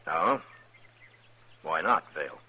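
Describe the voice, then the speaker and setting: An actor with an American accent playing a calm, watchful U.S. marshal in a 1950s radio western. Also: male voice, rumbling low, slow pace, breathy edge, full character voice. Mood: alert, measured. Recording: dull vintage radio recording.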